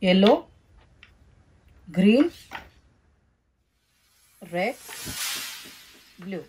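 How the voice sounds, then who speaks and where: Woman, steady instructional toy-review narration, medium pitch. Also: slight background noise. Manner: slow, careful, instructional. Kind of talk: step-by-step toy unboxing narration. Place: indoors, at a table. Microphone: off-camera voice, hands only in frame.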